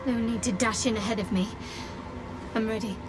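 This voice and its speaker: A woman's voice: fairly high pitch, steady tone, a little fast, over music, lightly acted. A woman voicing a devout, guarded young cleric in a video game, reverent, in short clipped phrases. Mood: reverent, resolute. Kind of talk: video game character acting.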